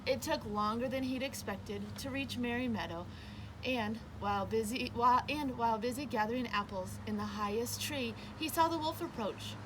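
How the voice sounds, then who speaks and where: A woman reading a children's picture book aloud as a storyteller, with expressive, emphatic narration. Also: on the high side, normal speed, background noise.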